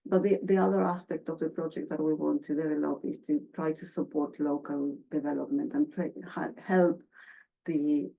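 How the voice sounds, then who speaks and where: Low-voiced Argentine woman presenting research. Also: fairly even intonation, creaky, warm tone, slightly rough, poor audio. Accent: Argentine Spanish. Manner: halting, many fillers and restarts. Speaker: researcher giving a seminar. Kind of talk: academic presentation.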